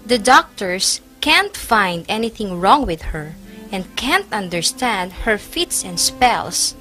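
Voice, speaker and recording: A woman's voice: mid-range voice, sing-song, takes it slowly, pushed and bright, soft background music, heavily acted. A woman playing a sarcastic, gossiping nun in a stage play, mocking and theatrical. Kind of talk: amateur stage play acting.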